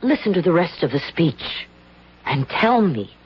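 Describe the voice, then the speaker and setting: An actress in a 1970s radio drama playing a young American actress caught up in a royal impersonation, talking briskly and asking pointed questions. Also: female voice, fairly low pitch, big pitch swings, slight background noise. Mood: puzzled. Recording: dull 1970s radio broadcast.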